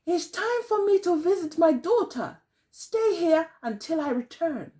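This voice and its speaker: A woman's voice: extremely high pitch, very animated, a little slow, dark tone, pitched above the performer's own voice, full character voice. A woman voicing an anxious old mother in a children's tale, pleading in a plaintive sing-song. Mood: fond.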